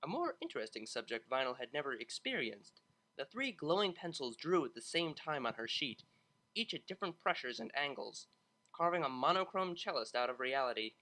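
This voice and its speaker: Audiobook narrator for fiction: bright tone, animated intonation. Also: mid-range voice, fairly quick, poor audio.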